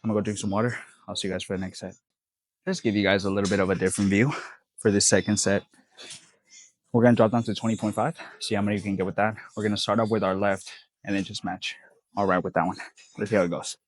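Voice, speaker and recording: A man's voice: lowish voice, fairly even intonation, fast talker, dry recording. A young man vlogging between sets at the gym, stuffy-nosed and sniffling, talking in a laid-back, rambling way with lots of fillers. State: congested, sniffling. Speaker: fitness vlogger. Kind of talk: casual gym vlog talk.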